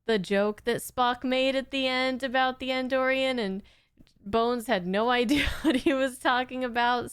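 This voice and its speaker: A woman's voice: a little high, clear, resonant tone, no room sound. A woman hosting a reaction video, chatting casually in an appreciative, thoughtful manner. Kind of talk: casual, reflective chat.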